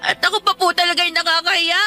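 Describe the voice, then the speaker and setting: Very high, bright, hurt young Filipina daughter. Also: female voice, flat delivery, fast, full character voice. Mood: tearful, angry. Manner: polite but pleading, talking back. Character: hurt, defiant student daughter, young woman. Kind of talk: Filipino radio drama acting.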